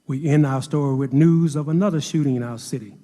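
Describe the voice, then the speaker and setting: A man's voice: fairly high pitch, fast talker, dark tone, long reverb tail, lightly acted. A man playing a grave news narrator in a scripted audio drama. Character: grave male news narrator telling a tragic tale.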